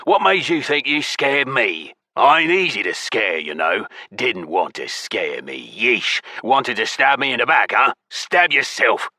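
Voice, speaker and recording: A man voicing a foul-mouthed, mocking goblin thief with a deep, hoarse growl and a coarse, slangy drawl. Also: lively intonation, unhurried, hardly any pauses, pushed and bright, no room sound, studio quality, full character voice. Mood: prickly, mocking.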